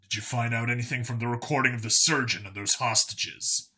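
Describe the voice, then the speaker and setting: A man's voice: mid-range voice, steady tone, fairly quick, bright, forceful tone, husky. A man voicing a blunt, sardonic human cop who is plain-spoken and self-assured in an audiobook story. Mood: thoughtful.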